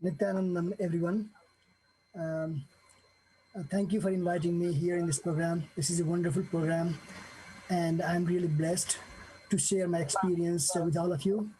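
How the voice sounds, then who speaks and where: A man in his forties from Nepal, giving an unscripted personal testimony with many pauses, fillers and restarts. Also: high-pitched, little pitch movement, mellow, a little hiss or hum, poor audio. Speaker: guest sharing a testimony.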